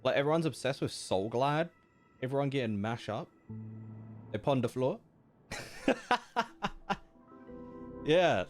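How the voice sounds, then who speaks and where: Casual, slangy male game streamer. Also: medium pitch. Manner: slangy, informal, chatty. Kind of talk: casual live game reaction chat. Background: faint game music.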